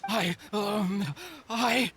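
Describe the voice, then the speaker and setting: Game villain: pleading murderer desperate for his lost love, halting, very slow, strained, over noisy ambience. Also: high voice, fairly even intonation, a bit bright, exaggerated voice. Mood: shaken.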